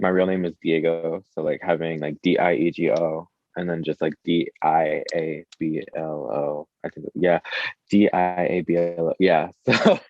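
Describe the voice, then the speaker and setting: A man's voice: low voice, no echo. A man who is a musician, chatting casually as an interview guest. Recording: dull lo-fi, dry close sound.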